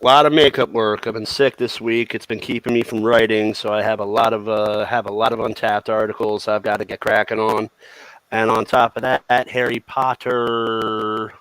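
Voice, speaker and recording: A man co-hosting a casual podcast, telling stories in an animated way. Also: lowish voice, normal speed, smooth, no room sound, rough recording. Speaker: podcast co-host and writer. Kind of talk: casual chat.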